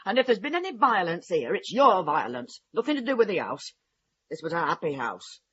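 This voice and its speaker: A woman's voice: fairly low pitch, very animated, brisk, slightly rough. An actress playing an indignant, plain-spoken old British grandmother in a radio drama, blunt and defiant but dignified. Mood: wounded, firm. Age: older. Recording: dull, muffled radio play recording.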